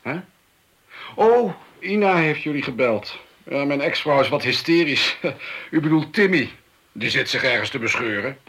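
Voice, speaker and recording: An actor playing a flippant, self-centred, well-off man in a radio play, breezy and callous, with a husky voice. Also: medium pitch, expressive, moderate pace, a bit bright. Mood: mocking, callous. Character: flippant, callous, well-off male computer expert, divorced father. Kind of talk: Dutch radio play acting.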